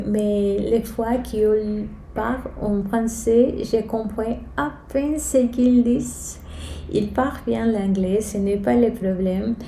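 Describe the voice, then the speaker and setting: A woman with a Spanish accent tells personal stories in a casual vlog style. Her voice is very soft-edged and mellow, and she goes slowly and carefully, hesitating as a non-native speaker. The room adds a noticeable echo. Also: mid-range voice, slight background noise. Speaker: vlogger, channel host. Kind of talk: casual personal storytelling to camera. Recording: roomy echo, music underneath. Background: background music.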